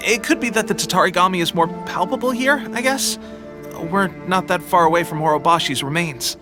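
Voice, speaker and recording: An actor playing an eager young resistance soldier in an anime game, friendly and putting on a brave face while unwell with a cold. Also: male voice, sits high, fairly quick, lightly acted. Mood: casual. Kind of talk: English anime game voice acting. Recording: music behind.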